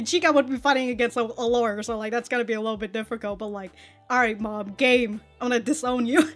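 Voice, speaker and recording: A woman giving a casual, animated episode review as a reaction host, with slangy, sweary talk. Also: on the high side.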